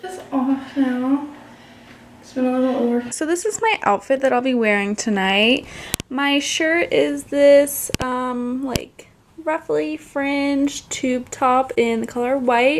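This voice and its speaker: A young woman with a high voice talks to her viewers in a casual vlog style. She is chatty and informal, with a giggly manner. There is faint noise behind her. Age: young adult. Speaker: vlogger. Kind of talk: casual get-ready-with-me vlog chat.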